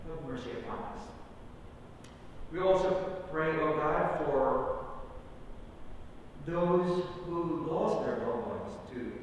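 A man, a pastor, leading a solemn spoken prayer in an earnest, pleading way with halting phrases. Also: lively intonation. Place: church sanctuary, at the pulpit. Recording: echoey church hall from far off, rumbly noise.

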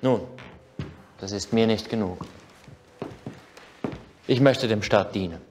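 Earnest, low-voiced young crown prince. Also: male voice, slow, darkish tone, soft background music. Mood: solemn, challenging. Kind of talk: historical docudrama acting.

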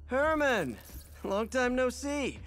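An actor playing a wisecracking, earnest young superhero in a video game, with a boyish, quippy delivery. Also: male voice, extremely high pitch, sing-song, slow, a bit bright, faint music behind, full character voice. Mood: playful, cocky. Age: young adult. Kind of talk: video game cinematic acting.

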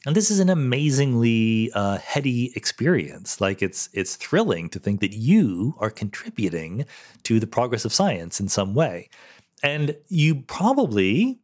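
A man's voice: mid-range voice, big pitch swings, unhurried, darkish tone. A middle-aged man hosting a solo listener-question podcast, explaining science in a thoughtful, conversational way and checking in with "right?" and "okay?".

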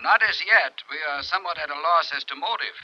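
A shrewd, formal Swiss inspector with a German accent in a 1950s radio drama. The voice is fairly high and very sharp, on a dull old recording. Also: male voice. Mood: formal skepticism. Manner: formal, measured, skeptical. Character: big, slow-spoken, shrewd male Swiss police inspector.

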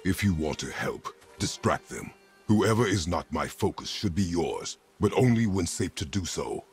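An actor playing a stern middle-aged warrior father in a video game, gruff and gravelly, speaking in terse, measured commands. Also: big pitch swings, darkish tone, soft background music, exaggerated voice. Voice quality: deep, gravelly.

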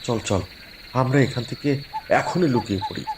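A man voicing a greedy morgue worker who steals bodies, speaking hushed and urgent, with night noise behind. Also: medium pitch, lively intonation, moderate pace, lightly acted.

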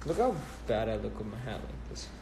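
A man's voice: fairly low pitch, fairly quick, creaky. A young man from the Netherlands, chatting casually and laughing as he answers viewers on a phone livestream in his apartment. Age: young adult. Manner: relaxed, easygoing, laughs often, answers viewer questions. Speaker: livestream host. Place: bright apartment room indoors. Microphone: phone at arm's length. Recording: roomy echo, faint background hiss.

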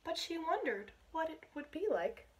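An American woman in her twenties reads a picture book aloud to children with asides, in an expressive, encouraging manner. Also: very high-pitched, normal speed. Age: 20s. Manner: expressive, encouraging, addressing young listeners. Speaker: teacher reading aloud to children. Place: small bedroom indoors. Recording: clear background noise, home camera microphone.

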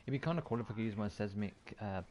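A man's voice: fairly low pitch, racing, darkish tone, slight background noise. A man giving casual, offhand gameplay commentary, muttering and mildly swearing to himself. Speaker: gaming YouTuber.

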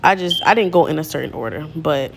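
A woman's voice: low-pitched, expressive, quick pace, creaky, a little hiss or hum. A woman chatting casually to her viewers while cooking in a small home kitchen, playful and sing-song, often calling them "y'all". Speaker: cooking vlogger. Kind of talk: casual cooking chat to camera. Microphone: camera mic, speaker moving around.

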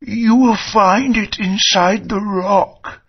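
An actor voicing a calm mutated creature who gives slow advice in a video game. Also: mid-range voice, slightly rough. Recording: muffled lo-fi audio.

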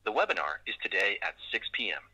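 A male AI assistant makes an upbeat, scripted reminder call. The voice is low, very sharp and a bit husky, played through a phone speaker. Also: big pitch swings, fast talker, poor audio.

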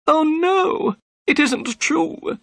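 A man's voice: very high-pitched, expressive, extremely slow, vocal fry, a bit bright, no echo, voice pushed up, exaggerated voice. A man voicing a frightened, pleading man accused of murder in a detective storybook. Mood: panicked, pleading. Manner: trembling, pleading.

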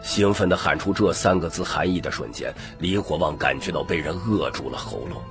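A man's voice: low voice, brisk. A man narrating an audiobook story in a dramatic, scripted storytelling style. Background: background music.

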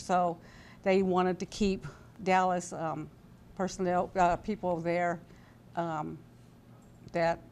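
A woman in her sixties telling a personal story as an interview guest, halting and conversational. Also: fairly low pitch, darkish tone. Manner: halting, conversational, voices quoted dialogue. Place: indoor TV studio-style set at a convention. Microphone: lapel mic. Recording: background noise and room ambience.

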